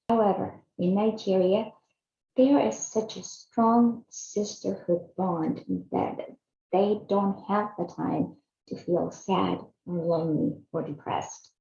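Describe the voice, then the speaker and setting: A woman's voice: mid-range voice, vocal fry, warm tone. A woman in her fifties from Chile, earnestly narrating a personal video series she hosts. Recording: echoey, slightly dull room sound.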